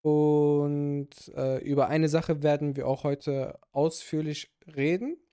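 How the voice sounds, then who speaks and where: A man's voice: fairly high pitch, fairly even intonation, slow, dark tone, clear, resonant tone. A man hosting a podcast in casual chat, joking and laughing often, with many fillers and restarts. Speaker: podcast host.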